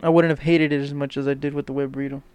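A man's voice: mid-range voice, level tone, racing, darkish tone, clean tone. A man chatting casually with friends, blunt and profane, joking around. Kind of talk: casual chat among friends.

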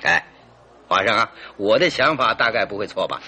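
A man's voice: fairly low pitch, very animated, moderate pace, pushed and bright, a little hiss or hum, full character voice. An actor playing a confident, self-satisfied detective in a radio drama, theatrical and emphatic as he expounds his theory. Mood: smug, expounding.

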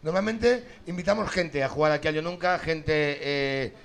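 A man's voice: on the high side, animated, normal speed, gravelly. A man hosting a live comedy game show, teasing and swearing as he jokes with the audience. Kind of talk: loose stand-up banter with the audience.

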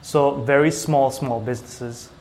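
A man from Singapore chatting casually as an interview guest, with hesitant, halting phrasing. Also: medium pitch, steady tone, a little mellow, slight background noise. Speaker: small business owner, IT technician, interview guest.

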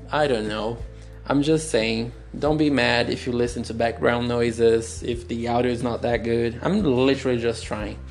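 Brazilian man, young adult, slow halting delivery, phone recording, faint guitar behind. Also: medium pitch, steady tone, vocal fry. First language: Portuguese. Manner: slow, searching, candid, with pauses and restarts. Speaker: podcast host. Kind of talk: solo personal storytelling podcast.

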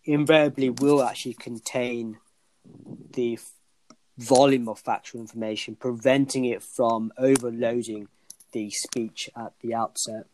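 A man's voice: medium pitch, steady tone, measured pace, darkish tone, a little hiss or hum, poor audio. A man co-hosting a podcast discussion, speaking in formal, elaborate sentences with frequent restarts, losing his voice. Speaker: podcast co-host.

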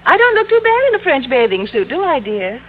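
An actress playing a vain, catty rival schoolteacher in an old-time radio sitcom, with an affected, sugary, condescending manner. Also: female voice, sits high, very animated, brisk, phone-quality sound. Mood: catty, syrupy.

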